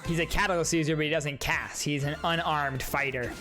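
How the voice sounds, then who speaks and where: A young man gives casual, rambling reaction commentary as a content creator, thinking aloud and restarting sentences. His voice sits a little high, and game trailer music plays clearly beneath him. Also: fairly even intonation, fairly quick, sharp tone.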